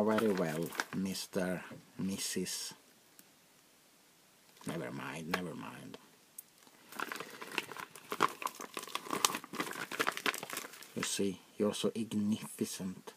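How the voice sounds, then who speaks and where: A man playing a jaded, sardonic aristocratic vampire prince in a soft-spoken ASMR roleplay, gravelly and often dropping to a whisper, languid and mockingly courteous. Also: on the low side, big pitch swings, slow pace, very noisy, full character voice. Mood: sly, theatrical.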